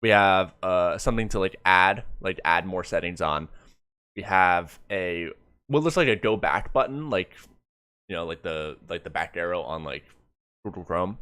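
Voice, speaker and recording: Male streamer, deep and dry-miked, casual reviewer commentary that stumbles and circles back over words. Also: expressive, bright, forceful tone, smooth, dry recording. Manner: halting, repeats words mid-thought. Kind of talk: casual live reaction commentary.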